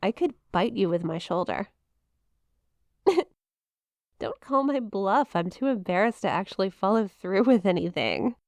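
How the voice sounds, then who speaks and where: A woman's voice: medium pitch, lively intonation, measured pace, pauses often, darkish tone, no room sound. A woman playing a shy, playful young eldritch monster classmate in an intimate ASMR roleplay, teasing and hesitant, with a flustered stammer. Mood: mock threatening. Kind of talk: ASMR roleplay, intimate one-sided conversation.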